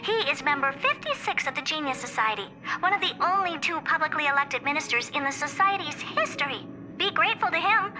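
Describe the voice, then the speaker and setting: A woman voicing a proud, eccentric, youthful female genius scientist in a video game, self-assured and theatrical, delivering scripted monologues. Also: extremely high pitch. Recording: music behind the voice. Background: music.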